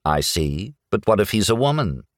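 A man's voice: on the low side, very animated, normal speed, dry recording, clean studio sound. A man voicing a sardonic, morose companion who talks in a matter-of-fact, testy way in a story reading. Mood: sour.

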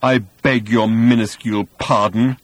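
A man puts on a massive, proud thunder god from an audiobook tale. He draws his words out slowly and with menace, in a dry, close recording. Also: medium pitch, dry recording, voice pushed up, close to an everyday voice. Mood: menacing. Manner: slow, drawn-out menacing delivery.

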